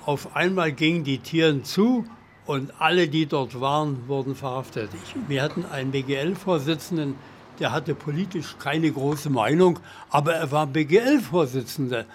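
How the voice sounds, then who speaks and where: An eyewitness in his eighties tells of what he lived through in an interview. The old man's voice is somewhat high in pitch. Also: moderate pace, slight background noise. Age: 80s. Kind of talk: recollection in an interview.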